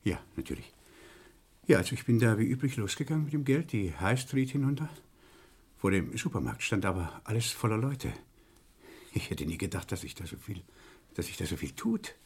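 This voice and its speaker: An actor playing a meek, nervous clerk in a German radio crime play, speaking haltingly and apologetically with a breathy, husky voice. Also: male voice, fairly low pitch, very animated, heavily acted. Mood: nervous, hesitant. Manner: hesitant, halting, politely apologetic. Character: meek, nervous male clerk giving a police statement.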